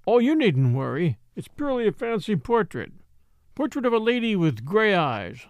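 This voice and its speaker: A woman voicing a bitter, sardonic English gentleman with a clipped, dry, mocking manner, in a storybook reading. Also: mid-range voice, sing-song, normal speed, no room sound, pitched above the performer's own voice, natural voice.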